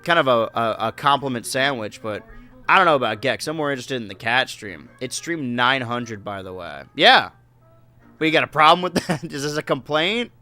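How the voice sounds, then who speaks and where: Bright-voiced man streamer, stuffed up. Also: medium pitch, lively intonation, moderate pace, faint music behind. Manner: joking, talking to his cats and chat. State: stuffed-up nose. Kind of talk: casual live-stream chat.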